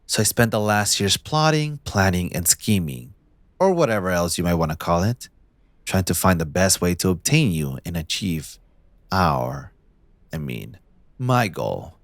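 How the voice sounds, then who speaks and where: A man playing a possessive, smug young mafia heir in a scripted audio roleplay, speaking in a theatrical, condescending, deliberate villain's drawl. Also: low-pitched, sing-song, gravelly, no room sound, exaggerated voice. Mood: obsessive, confiding.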